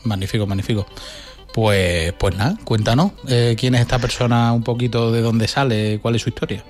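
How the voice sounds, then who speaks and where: A man's voice: mid-range voice, fairly even intonation, normal speed, a little mellow. A man hosting a radio show in a casual, colloquial way, reacting informally to his guest. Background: music playing underneath.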